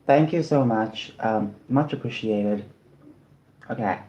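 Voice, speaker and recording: A man's voice: lowish voice, takes it slowly, dark tone, lo-fi sound. A man from South Africa chatting casually on a livestream while eating, rambling and often apologizing.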